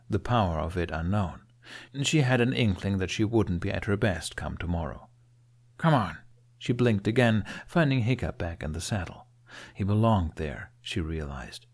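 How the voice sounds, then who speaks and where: A man narrates a fantasy audiobook in a fairly low voice. His delivery is scripted storytelling, read as prose.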